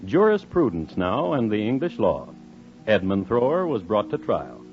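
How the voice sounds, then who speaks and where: An actor playing a wry, formal storyteller, narrating a scripted 1950s radio crime drama in a measured, theatrical manner. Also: male voice, fairly low pitch, big pitch swings, faint music behind. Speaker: narrator host. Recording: muffled old radio recording.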